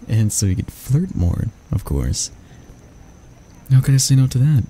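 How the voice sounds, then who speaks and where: A man playing a grumpy but soft-hearted barista, flustered and flirty, stammering and teasing in a romantic ASMR roleplay. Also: medium pitch, very animated, measured pace, slight background noise. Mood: flirty, reluctant.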